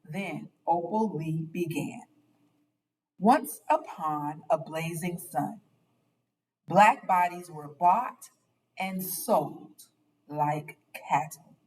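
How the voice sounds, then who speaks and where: Slow-reading woman storyteller, slightly distant. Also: fairly low pitch, darkish tone. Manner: dramatic, deliberate phrasing. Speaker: storyteller reading a picture book aloud. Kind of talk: expressive children's read-aloud narration.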